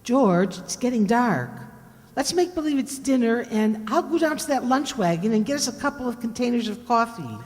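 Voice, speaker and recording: An actress playing a detective's quick-witted, playful female sidekick in an old-time radio drama, expressive and pert. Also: a little high, long reverb tail, close to an everyday voice. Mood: pert, wry.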